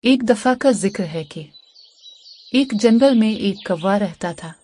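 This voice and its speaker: A woman's voice: mid-range voice, lively intonation, takes it slowly, warm tone, soft background music. A woman narrator reading a scripted children's cartoon story. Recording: faint background noise.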